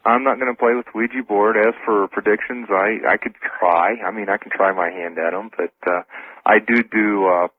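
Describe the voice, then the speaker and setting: A man's voice: on the low side, steady tone, fairly quick. A man talking casually as a radio guest, rephrasing himself as he goes. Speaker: interview guest. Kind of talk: casual radio interview talk. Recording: roomy, phone-like radio line.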